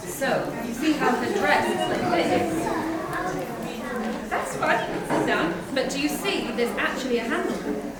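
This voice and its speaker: A woman's voice: sits high, animated, measured pace. Woman presenting in a very echoey, crowded hall. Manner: simple explanatory phrasing with questions to the audience. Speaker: puppeteer presenter. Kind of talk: live demonstration talk to a young audience. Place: large echoey indoor museum space. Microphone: distant camera or room microphone. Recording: distant mic, very echoey hall, audience noise. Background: audience chatter.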